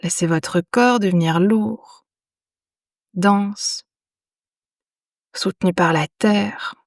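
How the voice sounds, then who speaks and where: Woman, meditation guide, soothing, very slow delivery, long pauses, dry recording. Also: medium pitch, dry recording. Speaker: yoga nidra guide.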